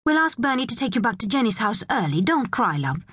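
A woman voicing a gentle, cheerful man in a children's audiobook, reassuring and patient. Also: a little high, big pitch swings, brisk, breathy edge, dry recording, studio quality, pitched above the performer's own voice, full character voice. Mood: soothing. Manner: soothing, patient. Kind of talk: acted children's audiobook dialogue.

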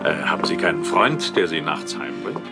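Lebhafter, galanter Inspektor im Gruselhörspiel. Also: male voice, very deep voice, big pitch swings, normal speed, creaky, loud music behind the voice, heavily acted. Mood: gallant. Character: gallant male Scotland Yard ghost-hunting inspector. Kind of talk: German audio horror drama acting.

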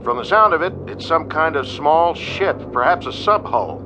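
An actor playing an attentive, crisp navy sonar officer in a radio drama. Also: male voice, fairly high pitch, animated, moderate pace, muffled recording, background noise, close to an everyday voice. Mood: focused, puzzled. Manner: clipped military reporting.

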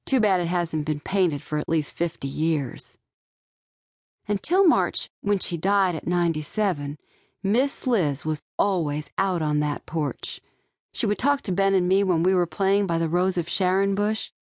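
Woman reading a kids' novel in first person, lowish voice, dry studio sound. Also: a little slow, no room sound. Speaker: author narrating own audiobook. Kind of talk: scripted first-person young-adult novel narration.

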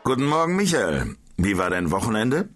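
A man's voice: fairly low pitch, big pitch swings, normal speed, over music. A man in a scripted audiobook dialogue, asking his colleague curious questions.

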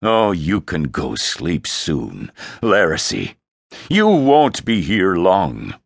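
A man's voice: mid-range voice, wildly swooping pitch, slow, gravelly, a bit bright, slightly rough, no echo, clean studio sound, voice pushed up, full character voice. A man voicing a towering, domineering emperor and father in a fantasy audiobook, scornful and commanding. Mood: cold disgust. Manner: scornful, commanding, snorting disdain. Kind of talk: dramatic fantasy audiobook character voice.

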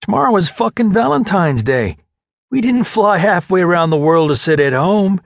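Man as a brash soldier pal, higher-pitched, animated, loud emphatic banter, storybook character. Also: normal speed, gravelly, no room sound, studio quality, full character voice. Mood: rowdy, eager. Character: brash young Navy SEAL buddies, rowdy and teasing.

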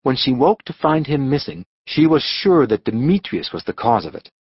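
A man narrating a scripted storybook audiobook with dramatic, expressive delivery. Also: medium pitch, moderate pace, slightly rough, no echo, highs cut off.

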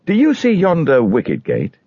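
A man voicing a solemn, steady evangelist guide in a storybook, deliberate and commanding. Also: on the high side, no room sound, dull audio, pitched above the performer's own voice, full character voice. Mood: solemn questioning.

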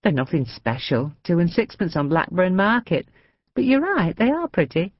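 A woman's voice: lowish voice, sing-song, moderate pace, no echo, lo-fi sound. A woman voicing a kind, plain-spoken woman in her thirties in a storybook reading. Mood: kindly. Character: warm-hearted working woman in her thirties.

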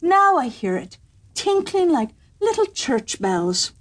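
A woman voicing a foolish, excitable young male courtier in a storybook reading, gushing in her delivery. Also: high voice, slow pace, voice pushed up, full character voice.

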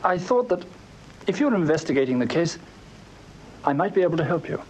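A man's voice: a little high, very animated, brisk, a little mellow, a little hiss or hum, rough recording. Earnest, nervy young Englishman, lightish voice. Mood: nervous, deferential. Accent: English Received Pronunciation. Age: young adult. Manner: hesitant, deferential, earnest. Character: earnest, nervous, jealous young suitor. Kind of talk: British radio drama acting.

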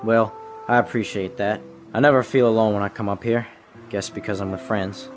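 A man's voice: lowish voice, steady tone, normal speed, natural voice. An actor playing a sincere, reflective, melancholy young man in a western audio drama. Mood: wistful. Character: earnest, melancholy young man of the Old West. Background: music.

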